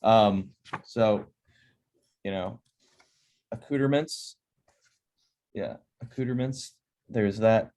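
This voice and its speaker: A man's voice: lowish voice, slow, long pauses, darkish tone. A man in his thirties hosting a casual video call, explaining ideas in an upbeat, chatty way from a home office. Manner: upbeat, chatty, laughs often. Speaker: host. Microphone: over-ear headset on a video call.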